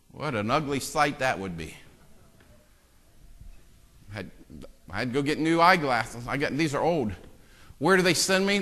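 Impassioned pastor, bright voice, long reverb. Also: male voice, on the high side. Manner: swings from hushed asides to loud impassioned preaching; self-deprecating joking with the congregation. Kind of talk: sermon. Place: church sanctuary. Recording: long church-hall reverb.

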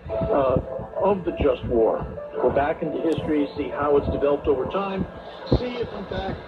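A man hosting a radio talk show, speaking in a deliberate, reflective monologue with hesitant phrasing. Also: fairly high pitch. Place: radio studio, heard through a shortwave receiver. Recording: noisy shortwave radio broadcast, tinny narrow band.

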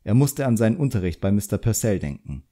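A man's voice: lowish voice, very animated, quick pace, warm tone. A man reading fiction as an audiobook narrator, in a scripted storytelling style.

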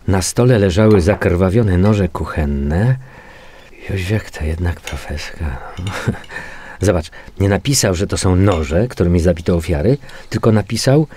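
Low-voiced, world-weary police investigator. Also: male voice, mellow, a little hiss or hum. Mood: matter-of-fact. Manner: halting, muttering repetitions while reading out reports. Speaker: actor in an audio crime drama. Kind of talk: naturalistic radio drama dialogue.

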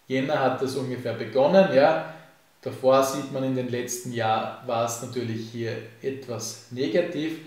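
Man in an echoey room. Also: medium pitch. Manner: relaxed, frequent fillers and "eben", hand gestures. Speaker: finance YouTuber. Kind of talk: casual explainer to camera. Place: home office with bookshelves, indoors. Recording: echoey room, distant mic.

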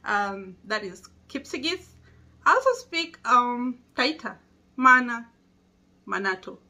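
A woman's voice: a little high, big pitch swings, takes it slowly, sharp tone. A young Kenyan woman with a Kenyan accent, talking casually to camera in a small indoor room and patiently explaining things. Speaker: vlogger.

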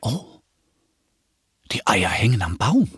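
A man's voice: lowish voice, very animated, takes it slowly, a bit bright, slightly rough, dry recording, clean studio sound, exaggerated voice. A man voicing a worried, sad father Easter hare in a children's story, plaintive and fretful. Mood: amazed.

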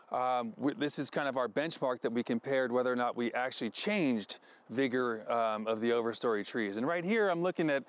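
A middle-pitched American man speaks as a researcher outdoors. He explains things to camera in the style of an educational film. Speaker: forest researcher. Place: outdoors in a forest.

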